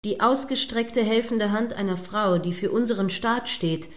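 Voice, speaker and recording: Woman acting a prim indignant live news commentator, mid-pitched, judgy, radio play style. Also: moderate pace, natural voice. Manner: judgmental, describes events step by step.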